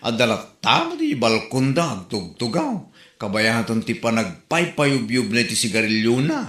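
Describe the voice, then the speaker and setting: A man's voice: mid-range voice, very animated, normal speed, a bit bright, reverberant. A man playing a gossiping neighbor in a comedic audio drama, with an exclaiming manner.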